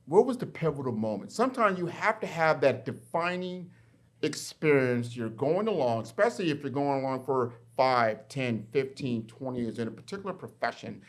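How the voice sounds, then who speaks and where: A middle-aged man hosting a podcast interview from a university, framing questions in an energetic, conversational way. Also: mid-range voice, moderate pace. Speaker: podcast host. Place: university in Miami.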